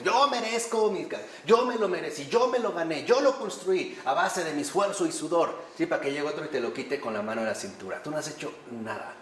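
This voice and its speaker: Animated man lecturing in an echoey room. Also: fairly high pitch, slight background noise. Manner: animated, rhetorical questions to the audience. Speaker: priest teaching a class. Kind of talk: conversational lecture on faith. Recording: echoey room with faint ambience.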